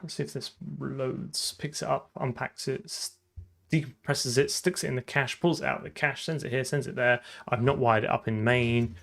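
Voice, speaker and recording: A man's voice: mid-range voice, steady tone, normal speed, creaky, fairly bright tone. A man thinking aloud as he codes, muttering to himself in fragments over keyboard typing and clicking. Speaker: programmer streamer. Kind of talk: live coding commentary, thinking aloud.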